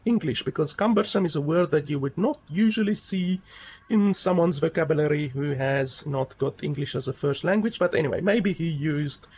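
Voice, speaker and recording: A man's voice: fairly high pitch, normal speed, a little mellow, husky. A man giving a rambling, casual game review, with many fillers and emphatic repeats.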